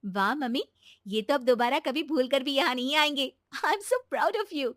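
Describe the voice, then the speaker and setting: A woman voicing an earnest, encouraging young daughter-in-law who reasons gently in a cartoon moral story. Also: sits high, animated, measured pace, dry recording, clean studio sound. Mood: pleased, curious.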